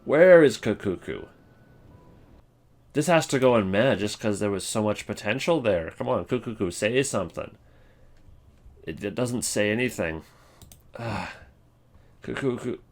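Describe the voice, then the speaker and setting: Low-voiced male commentator, casual and rambling, working out opinions aloud. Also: animated, unhurried, pauses often. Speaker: video creator ranking game voice lines.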